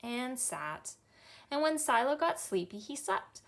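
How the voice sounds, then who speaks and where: A Canadian woman in her thirties reads a children's picture book aloud with a bright, cheerful storytime manner. Her voice sits a little high and swoops up and down with lots of expression. A gravelly edge creeps in at the ends of her phrases. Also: big pitch swings, a little slow. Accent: Canadian English. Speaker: children's librarian. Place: small indoor library room.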